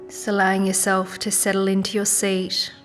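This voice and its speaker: A woman leading a guided meditation in a soft, gentle, soothing manner with unhurried pauses. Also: medium pitch, monotone. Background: music.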